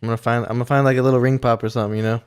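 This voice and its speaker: Smooth-voiced young man, loose chat. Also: medium pitch, level tone, very fast, a little mellow. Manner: loose and profane, with restarts and "like". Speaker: podcast co-host. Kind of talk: casual chat.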